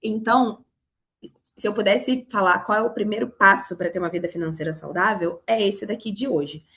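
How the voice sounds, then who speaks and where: A Brazilian woman from Rio with a Carioca accent, hoarse from a cold, teaching a live online class on money, talking straight to her students. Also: mid-range voice, moderate pace, a bit bright. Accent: Carioca Brazilian Portuguese. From Rio de Janeiro, Brazil. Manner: explanatory, addresses students directly. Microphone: video call.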